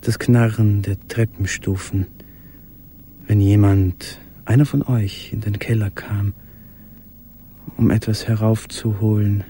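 Ein Schauspieler spielt in einem Hörspiel einen gequälten, sich erinnernden Mann im Versteck, gedämpft, stockend und intim gesprochen. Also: male voice, on the low side, little pitch movement, takes it slowly, pauses often, mellow. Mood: haunted, yearning. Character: haunted man in wartime hiding, remembering a beloved. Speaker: actor in a radio play. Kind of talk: literary radio play monologue. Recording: faint background noise.

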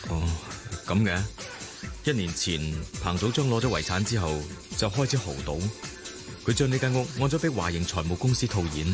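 A composed businessman with a low voice. He is polite but firm and matter-of-fact, in radio drama style, with music clearly behind him. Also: animated. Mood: businesslike. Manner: polite, measured, formal. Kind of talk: Cantonese radio drama acting.

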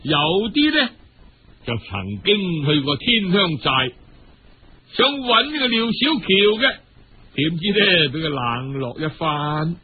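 A high-voiced man narrates in colloquial Cantonese storytelling style, theatrical and unhurried. His voice is husky, and the recording is dull and lo-fi, like radio. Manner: theatrical, colloquial, uses many sentence particles.

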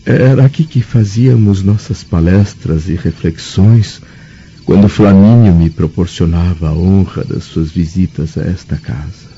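An actor playing a grave, nostalgic Roman senator in a formal, solemn radio drama style. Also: male voice, low-pitched, unhurried, dark tone, slight background noise. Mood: wistful, solemn. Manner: solemn, declamatory. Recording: muffled, dull radio drama recording.

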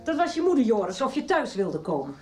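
A woman's voice: on the high side, big pitch swings, quick pace, over loud music. An actress in a Dutch radio soap playing a concerned woman who fusses over and scolds teenagers. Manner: fussing, concerned.

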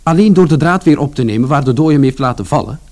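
A man's voice: fairly high pitch, lively intonation, quick pace, a little mellow. An actor playing a plain-spoken working-class son who tells his story in a colloquial, confiding first-person radio drama narration. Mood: reflective, chatty.

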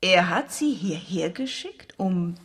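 An actress plays a brisk, sharp-tongued and coolly teasing gallery owner in a comic radio play. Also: female voice, on the low side, expressive. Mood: cool, snippy. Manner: forward, snippy. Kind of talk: comic radio detective drama.

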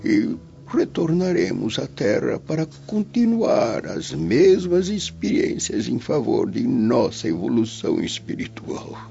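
A dying old Roman father with a Brazilian accent, grave, tender and philosophical. His delivery is very slow and expressive, in a lo-fi radio drama recording with music underneath. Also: mid-range voice, gravelly, slight background noise, exaggerated voice. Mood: solemn, consoling. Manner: grave, declamatory. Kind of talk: dramatic radio play acting.

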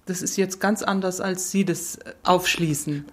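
A woman's voice: on the low side, steady tone, a little slow. A woman taking part in an intellectual conversation as the questioning partner.

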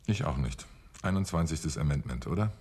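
An actor playing a sardonic, excitable rebel in a radio drama, speaking in terse, restless phrases. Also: male voice, a bass voice, moderate pace, a little hiss or hum.

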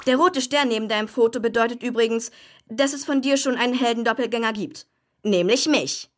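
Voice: medium pitch, fairly quick, natural voice. A young performer playing a chatty, cocky boy superhero who likes to explain things, in a children's radio play. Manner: breezy, eager, explanatory, casual.

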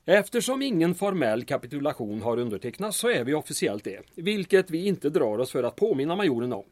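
Proud, animated small-town mayor. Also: male voice, fairly high pitch, dry recording. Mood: dry, formal. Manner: formal, dryly sarcastic. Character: proud, guarded town mayor under German pressure. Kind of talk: radio drama acting.

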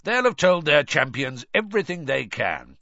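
A man voicing a gruff, shrewd old wizard teacher who growls out blunt advice in an audiobook. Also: on the high side, big pitch swings, moderate pace, pushed and bright, no echo, lo-fi sound, pitched above the performer's own voice, exaggerated voice. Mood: knowing.

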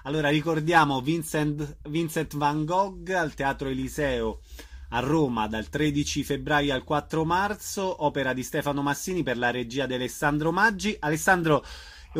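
A man's voice: on the high side, normal speed, a bit bright. A man hosting a radio interview, asking questions and giving show details in a friendly, slightly hesitant way.

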